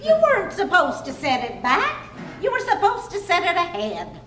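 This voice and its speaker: A woman's voice: very high voice, huge pitch swings, moderate pace, fairly bright tone, exaggerated voice. A woman playing a teasing, sensible, long-suffering wife in old-time radio comedy, with a dry, fondly scolding manner. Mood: exasperated, reasoning. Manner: dry, wry, fond scolding. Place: clubhouse hall, live radio play performance. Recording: echoey live hall recording, faint noise.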